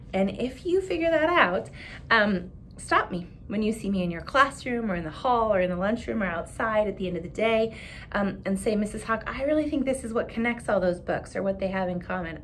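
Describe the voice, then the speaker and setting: A woman in her thirties, a teacher giving an expressive children's read-aloud with chatty asides, emphatic and speaking directly to the kids. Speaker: teacher reading a picture book aloud. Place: small indoor room with bare wall. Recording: steady background noise with low rumble.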